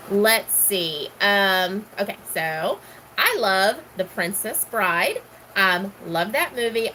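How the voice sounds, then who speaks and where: A woman's voice: medium pitch, pushed and bright, a little hiss or hum, poor audio. A middle-aged woman from Texas, casually chatting and answering questions, rambling with many ums. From Houston, Texas. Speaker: gardening vlogger.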